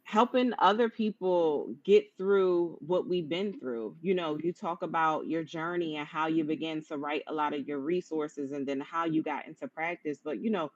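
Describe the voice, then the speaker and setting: A woman with a low-pitched voice hosting a conversational interview in an encouraging, affirming manner. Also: moderate pace, hardly any pauses, no echo. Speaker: interview host. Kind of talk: conversational live-streamed interview. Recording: dull lo-fi online stream audio.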